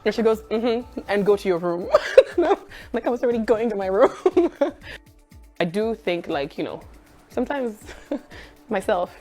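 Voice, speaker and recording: Lively woman, laughing storyteller. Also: mid-range voice. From Kenya. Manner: lively, acts out quotes, laughs a lot. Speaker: radio journalist. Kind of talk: animated personal storytelling.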